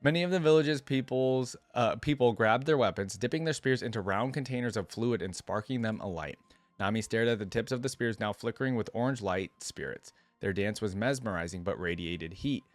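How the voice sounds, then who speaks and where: Low-pitched man reacting casually, dry sound. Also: brisk, no room sound. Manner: talks to himself, thinking aloud with casual slang. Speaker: reaction video host. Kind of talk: casual reaction commentary and reading a story aloud.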